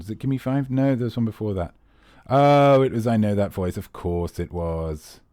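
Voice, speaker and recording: A man's voice: mid-range voice, mellow, no echo, clean studio sound. A man hosting a live comedy quiz, rambling and playful with teasing asides to the players. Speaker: quiz host.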